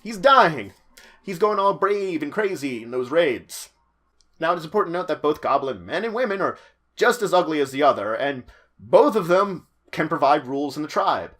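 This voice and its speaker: Guy doing explainer narration, voice a bit on the high side, dramatic pauses and little asides. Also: big pitch swings, fairly bright tone. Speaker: host of tabletop roleplaying guide videos.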